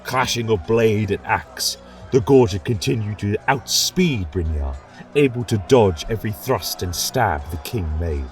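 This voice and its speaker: A man reading a fantasy story aloud as a narrator, in a measured, dramatic way. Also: lowish voice, lively intonation, faint music behind.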